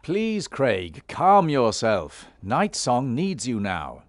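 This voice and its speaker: An actor playing a calm, firm warrior hero and peacemaker in a fantasy game, speaking in a measured, earnest way. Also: male voice, mid-range voice, no echo.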